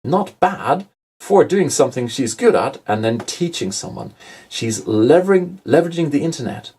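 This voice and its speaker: Husky middle-aged male presenter, casual and emphatic, restarts his sentences, webinar-style delivery. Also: medium pitch, animated, normal speed, darkish tone. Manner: conversational, emphatic, self-correcting.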